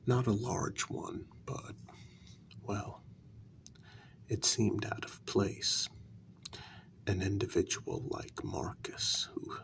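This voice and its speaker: The hushed, crackling, very slow voice one would hear at a vampire queen's elbow in an ASMR tale, a deliberate, insinuating interrogator reporting in whispers, acted by a man. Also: fairly low pitch, creaky. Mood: quietly insinuating. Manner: slow, deliberate, with drawn-out pauses. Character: measured, insinuating male vampire interrogator serving his queen. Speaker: ASMR role-play performer. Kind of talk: soft-spoken ASMR role-play, mostly whispered.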